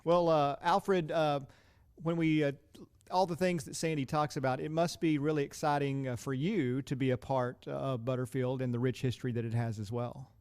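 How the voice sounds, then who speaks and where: Mid-pitched American man hosting an interview. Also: steady tone, moderate pace, a little mellow, smooth. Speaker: podcast host, interviewer. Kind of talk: casual, chatty interviewing.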